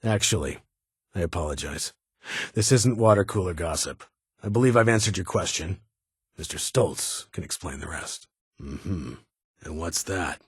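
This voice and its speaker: A man playing a stern, guarded ghoul military officer in a video game, with a raspy voice and a clipped, formal delivery of dry authority. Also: sits low, animated, normal speed, no room sound, full character voice. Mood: stern, skeptical. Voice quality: raspy, gravelly. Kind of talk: video game character dialogue.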